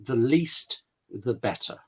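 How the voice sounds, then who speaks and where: A man's voice: mid-range voice, expressive, slow, sharp tone, husky. Emphatic male writer in conversation. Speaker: scriptwriter, webinar guest. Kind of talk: conversational interview talk about craft.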